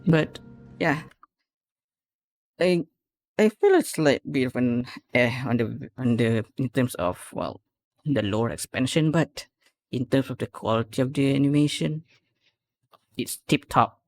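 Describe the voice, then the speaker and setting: A man's voice: mid-range voice, animated, measured pace, pauses often, a little mellow, no echo. A man giving casual reaction commentary as a video host, rambling and often correcting himself. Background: music.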